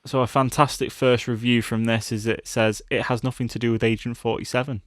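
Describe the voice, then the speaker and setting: A man's voice: mid-range voice, little pitch movement, fairly quick, no echo, clean studio sound. A man hosting a casual podcast about films, talking in a chatty, jokey, bantering way. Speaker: podcast host. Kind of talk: casual film discussion podcast.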